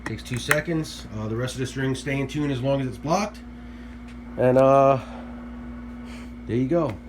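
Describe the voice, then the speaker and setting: A man casually explaining how a guitar detuner works at his workbench, relaxed and offhand, with fillers. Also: medium pitch, a little slow, noisy background. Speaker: guitarist demonstrating gear. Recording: guitar playing under the voice.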